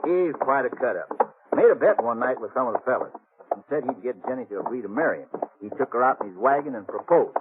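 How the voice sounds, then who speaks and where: Man playing cocksure western sheriff, American accent, folksy and mocking, very lively pitch, tinny noisy vintage radio. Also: fast talker, natural voice. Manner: folksy, mocking, chuckles often. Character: jeering, cocksure Old West sheriff. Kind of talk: 1950s Western radio acting.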